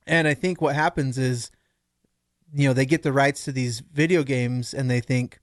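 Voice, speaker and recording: A man talks in a mid-range voice in a relaxed podcast discussion. He explains his reasoning conversationally, thinking aloud as he goes. The sound is close and dry, without room echo. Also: medium pitch, normal speed. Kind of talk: casual analytical podcast discussion.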